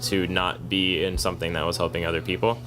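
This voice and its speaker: A man co-hosting a podcast, talking at length in a monotone, argumentative way in casual chat. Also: low-pitched, fast talker, background noise. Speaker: podcast co-host, activist. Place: kava bar.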